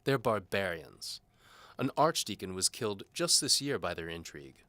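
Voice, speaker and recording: An older bishop of the Middle Ages played by a man preaches with haughty conviction. His delivery is forceful and lifted in pitch, didactic and commanding, as in an audio drama. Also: animated, moderate pace. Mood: zealous. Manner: didactic, pompous. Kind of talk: audio drama character acting.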